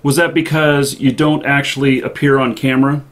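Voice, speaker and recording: A man with a soothing voice hosting a casual interview, talking in a hesitant, halting way. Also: medium pitch, level tone, a bit bright. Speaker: show host interviewing guests. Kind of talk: casual chat.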